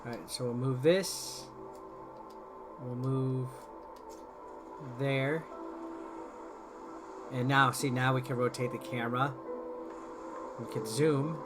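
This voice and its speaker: A man's voice: medium pitch, fairly even intonation, slow pace, long pauses. A man giving informal, rambling gameplay commentary as a video host, explaining things step by step. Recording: music behind the voice. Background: game music.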